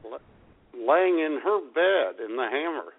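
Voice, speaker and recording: A man co-hosting an internet radio show in casual, playful comedic banter, with a gravelly voice. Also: a little high, lively intonation, slow. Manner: playful, joking. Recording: dull lo-fi internet radio sound.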